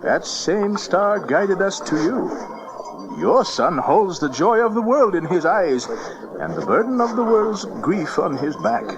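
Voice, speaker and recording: A man's voice: high-pitched, very animated, slow pace, highs cut off, a crowd in the background, full character voice. An actor playing a reverent, visionary wise man from the East in a Bible audio drama, speaking solemnly and expressively. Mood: earnest.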